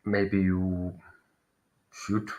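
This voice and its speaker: A man with a Finnish accent and a fairly low voice reads tarot cards live. He thinks aloud as he goes, pausing and hunting for the right word before settling on his reading. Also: completely flat delivery, darkish tone, poor audio. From Finland. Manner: thinking aloud, searching for words. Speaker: tarot reader.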